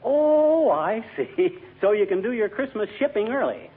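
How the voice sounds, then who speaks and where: An actor playing a folksy, blustering, easily flustered husband who wisecracks in an old radio comedy. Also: male voice, high voice, very animated, measured pace. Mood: wisecracking. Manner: folksy, joking, mock-pompous. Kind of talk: 1940s radio comedy acting. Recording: tinny old radio recording, loud audience behind.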